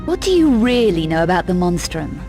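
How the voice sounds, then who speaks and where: A woman's voice: fairly low pitch, very animated, brisk, over music. A woman playing a tough, self-assured adventurer in a video game, with a terse, dry, unflappable delivery. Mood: cool, probing. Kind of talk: video game cutscene acting.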